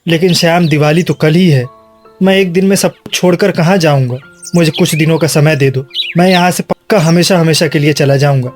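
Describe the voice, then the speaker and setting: Man voicing a gentle high-pitched poor farmhand. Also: brisk, faint music behind, close to an everyday voice. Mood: pleading. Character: loyal, gentle, poor male farm worker. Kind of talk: animated moral bedtime story.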